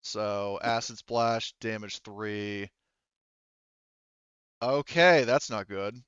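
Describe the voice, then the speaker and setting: Smooth, low-voiced man running a game. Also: drawn-out words, fairly bright tone, no room sound, highs cut off. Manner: informal, swearing. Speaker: game master. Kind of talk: running a tabletop roleplaying game, casual explaining.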